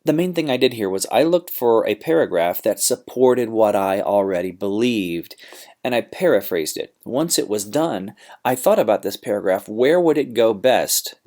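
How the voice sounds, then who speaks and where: Low-voiced, theatrical male teacher. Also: expressive, normal speed, darkish tone. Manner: informal, theatrical, slangy, emphatic. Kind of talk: classroom lesson on paraphrasing and essay writing.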